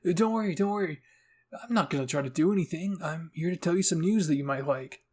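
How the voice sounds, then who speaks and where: A man's voice: a little high, fast, darkish tone, slightly rough, pitched above the performer's own voice. A man voicing a gleeful, eccentric woman commander and scientist in an anime fan fiction reading, gushing and eager. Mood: reassuring.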